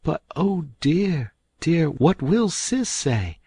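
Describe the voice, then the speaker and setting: A man voicing an excitable, motherly farm wife who fusses anxiously in a high, fluttering voice. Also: slow pace, slightly rough, no echo, exaggerated voice. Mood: fretful.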